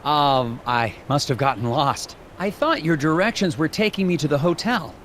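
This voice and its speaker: An actor playing an earnest, awkward, polite government investigator in a video game, officious and slightly hesitant. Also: male voice, on the high side, lively intonation, normal speed, fairly bright tone, slight background noise. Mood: frustrated. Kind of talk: video game character dialogue and dictated reports.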